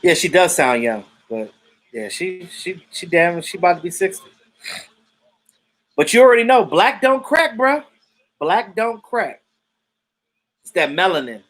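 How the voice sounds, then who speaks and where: A man's voice: high-pitched, lively intonation, measured pace, pauses often, fairly bright tone. A man in his thirties who hosts a live stream, chatting loosely about football and answering viewers, outspoken and swearing freely. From Jamaican family roots. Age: 30s. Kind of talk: casual sports chat reading viewer comments.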